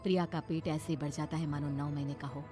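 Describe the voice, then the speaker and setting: A woman's voice: sits low, fairly even intonation, a little fast. A woman narrating a scripted horror story in a dramatic style. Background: music.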